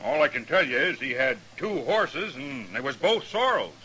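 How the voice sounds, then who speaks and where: An actor playing an urgent, rough-hewn western stagecoach driver in a 1950s radio drama. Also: male voice, mid-range voice, sing-song, moderate pace. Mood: flustered, defensive. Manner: urgent, loud. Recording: noisy vintage radio, slightly distant.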